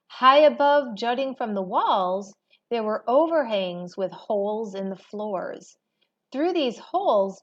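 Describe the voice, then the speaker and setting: A woman reading a history textbook aloud as a teacher, in a measured, expository manner. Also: a little high. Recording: dull, lo-fi recording.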